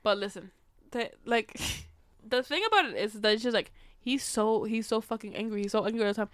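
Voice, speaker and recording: A woman's voice: a little high, a little fast, slight background noise. A young woman co-hosting a podcast, chatting casually about a film in blunt, profane slang. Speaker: podcast co-host. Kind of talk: casual movie review chat.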